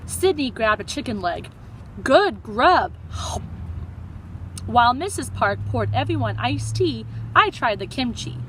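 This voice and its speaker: A woman with a high, very lively voice reads a story to children like a teacher. Her delivery is animated, and faint outdoor traffic noise sits behind her. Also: normal speed, hardly any pauses, low-quality recording. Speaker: teacher reading aloud to children.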